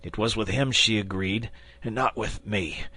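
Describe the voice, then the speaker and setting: A man's voice: a little slow, pushed and bright, slightly rough, dry recording, exaggerated voice. A man voicing a wounded, terrified soldier who begs for his life. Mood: fearful. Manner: pleading, high and strained.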